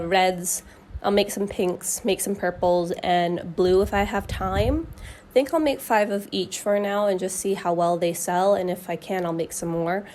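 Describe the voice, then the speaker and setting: A young woman chatting casually to camera in a vlog, nasal and hoarse from a cold, thinking aloud with fillers. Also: mid-range voice, a little mellow, a little hiss or hum. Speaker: knitting vlogger.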